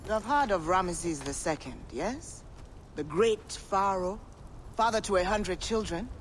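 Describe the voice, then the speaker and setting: A man's voice: high-pitched, animated, unhurried, fairly bright tone, a little hiss or hum. A man voicing a curious, bookish scholar in a game cutscene, with a musing delivery. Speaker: game character. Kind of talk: video game cutscene acting.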